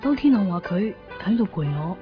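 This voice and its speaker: A woman acting a conflicted young woman in a Cantonese radio drama, speaking with a Hong Kong accent in halting, introspective phrases. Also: mid-range voice, animated, lightly acted. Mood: brooding. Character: conflicted young woman torn in love, brooding and evasive. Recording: dull lo-fi 1990s radio recording, music behind. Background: background music.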